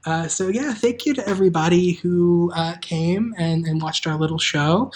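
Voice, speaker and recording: A woman hosting a live table read, chatting informally and a little haltingly with the stream audience. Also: on the low side, a little mellow. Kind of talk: casual livestream chat.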